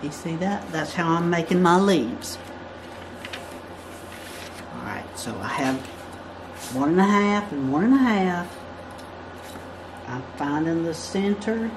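Craft instructor, woman with a lowish voice, chatty step-by-step delivery, faint room hiss. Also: lively intonation, takes it slowly, long pauses. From United States. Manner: chatty, step-by-step explaining while drawing. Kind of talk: drawing tutorial. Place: indoors at a work table.

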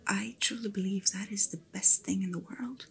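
A woman playing an attentive, friendly fantasy inn hostess in a gentle, whispered ASMR roleplay. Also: medium pitch, steady tone, creaky, close to an everyday voice. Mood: soft, attentive. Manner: gentle, unhurried, chatty personal attention.

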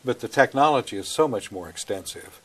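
A man's voice: medium pitch, lively intonation, fairly quick. An older man hosting a studio interview on a lapel mic, friendly and leading his guests with questions. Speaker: host. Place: small TV studio.

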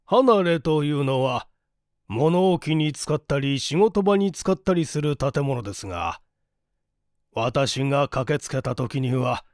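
A man reading a mystery story as a narrator, in a measured, polite and formal delivery. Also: mid-range voice, expressive, a little mellow, dry recording, studio quality. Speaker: audiobook narrator, stage actor. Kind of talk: dramatized literary reading, mystery novel.

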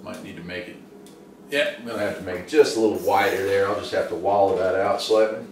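Casting: American man, middle-aged, lowish voice, chatty DIY vlog host. Distant mic, slight background noise. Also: fast. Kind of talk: casual hands-on demonstration vlog. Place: indoor home workshop and garage. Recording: echoey room, distant mic, faint noise.

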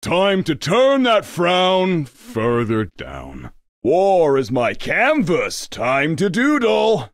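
A man voicing a grumpy, sarcastic unicorn candy-shop worker in a video game, with a deadpan, theatrical manner. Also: on the high side, drawn-out words, dry recording, clean studio sound, exaggerated voice. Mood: mischievous. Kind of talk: video game character voice lines.

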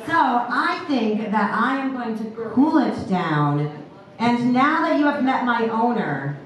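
A woman in her thirties hosting a burlesque show, teasing the crowd as she introduces each act. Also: fairly high pitch. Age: 30s. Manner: playful, teasing crowd work. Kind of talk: emcee banter introducing acts. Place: brick-walled club stage, indoors. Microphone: handheld mic, recorded by far camera. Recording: echoey club from far off, with background noise.

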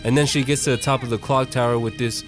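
A man's voice: medium pitch, fairly even intonation, quick pace. A young man narrating a casual, opinionated video essay in a slangy, profane and irreverent manner. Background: music.

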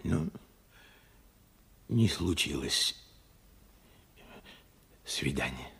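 Husky, gravelly old bereaved father. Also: a bass voice, lively intonation, a bit bright, heavily acted. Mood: sorrowful, subdued. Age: older. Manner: halting, reflective storytelling in short phrases. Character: weary old widowed steelworker father recalling war losses. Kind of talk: Soviet radio drama acting.

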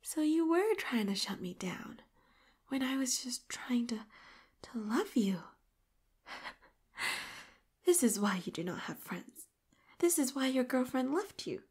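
A clingy, possessive female robot companion, voiced by a woman for an audio roleplay. Her voice sits a little high and comes out breathy and hushed, right up against the mic. She pleads, then turns eerily calm and controlling. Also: a little slow, pauses often, full character voice. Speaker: voice actress.

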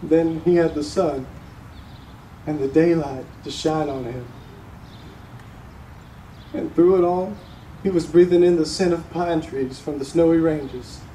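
A young man playing a solemn, resolute grieving father addressing a court in a stage monologue, with heavy pauses. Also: fairly high pitch, steady tone, warm tone, lightly acted. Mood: grave sorrow. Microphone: distant camera microphone. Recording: roomy, noisy, recorded from far off.

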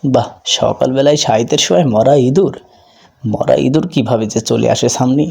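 A man's voice: medium pitch, normal speed, gravelly, a little mellow, slightly rough. A man voicing a skeptical, commanding king in a folk tale cartoon. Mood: doubtful, dismissive. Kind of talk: cartoon voice acting.

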